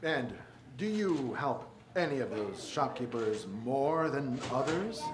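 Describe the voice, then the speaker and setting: A man playing a polite, halting police detective in a 1940s radio drama. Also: medium pitch, big pitch swings. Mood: halting, inquisitive. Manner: halting, questioning. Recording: echoey stage with clear background noise.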